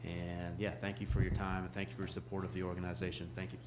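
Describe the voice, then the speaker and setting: A lifeguard supervisor addressing a town board in a friendly way, with frequent pauses and fillers. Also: male voice, low voice, completely flat delivery, echoey room, noisy background. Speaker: ocean rescue supervisor. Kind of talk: short public address at a meeting.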